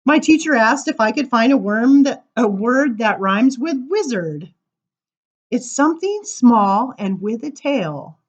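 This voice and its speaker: A woman reading children's poems aloud at story time, informal, with dramatic emphasis and drawn-out words. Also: a little high, sing-song, dull audio. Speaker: library story time reader.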